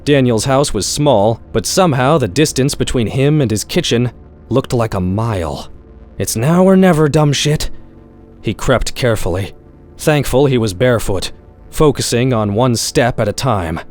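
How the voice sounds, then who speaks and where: A man's voice: mid-range voice, normal speed. Man narrating darkly comic story over music. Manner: expressive, wry, profane. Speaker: author reading own short story. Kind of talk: dramatic horror-comedy story narration. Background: background music.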